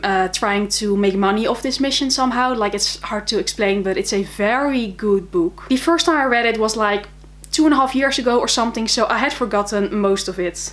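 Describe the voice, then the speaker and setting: Chatty Dutch-accented woman reviewing books. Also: medium pitch. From Netherlands. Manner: chatty, enthusiastic, many fillers like "um" and "like". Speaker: book vlogger. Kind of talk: casual monthly reading wrap-up.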